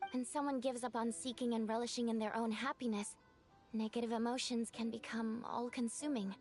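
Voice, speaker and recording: Woman voicing a calm clinical game doctor, slightly high, faint music. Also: natural voice. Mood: serious. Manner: measured and clinical. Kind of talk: video game voice acting.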